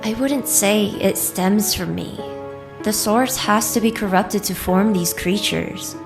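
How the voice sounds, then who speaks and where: A woman's voice: medium pitch, takes it slowly, creaky. A woman playing an earnest otherworldly visitor who stands her ground, in an audio drama. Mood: composed, puzzled. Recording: music under the voice.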